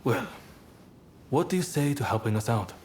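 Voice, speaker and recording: An actor playing a composed, persuasive rebel clan leader in a video game, speaking in a measured, polite, formal manner. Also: male voice, medium pitch, lively intonation, a little mellow, a little hiss or hum, natural voice. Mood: earnest, persuasive. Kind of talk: video game cutscene acting.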